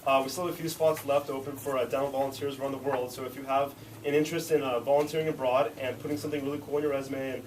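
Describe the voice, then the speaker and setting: A man's voice: medium pitch, level tone, rapid-fire, few pauses, darkish tone. A young man with a congested voice, making rambling club announcements full of fillers to a student meeting. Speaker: club officer in charge of rotations. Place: classroom meeting. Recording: distant mic in a noisy room.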